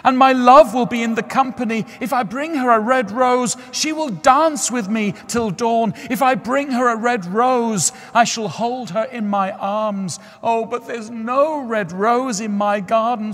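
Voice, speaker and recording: A man voicing a lovesick young male student who wails his despair in a high, loud lament. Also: a little slow, hardly any pauses. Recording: long church hall reverb.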